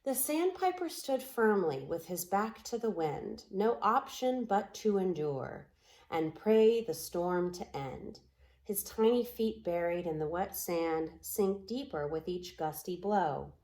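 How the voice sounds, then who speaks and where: A woman reads a storybook aloud in a fairly low voice. She takes her time over each phrase, very slowly and deliberately, giving the poetic lines an expressive, recited shape. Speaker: storybook reader. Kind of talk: storybook read-aloud in rhyming, poetic prose.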